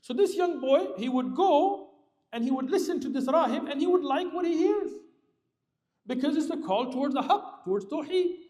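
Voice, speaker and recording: A man preaching a sermon, telling a story with lively explanatory asides. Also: moderate pace. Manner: animated, lively pitch swings, explanatory asides. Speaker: Islamic preacher. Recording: roomy hall reverb.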